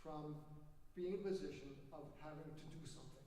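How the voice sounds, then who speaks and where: A man moderates a panel discussion in a reflective, unhurried way, pausing often on fillers. His voice is mellow and dark. He is heard from a distance in a large hall with a long, roomy reverb. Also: on the high side, slight background noise. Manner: measured, reflective, hesitant with fillers.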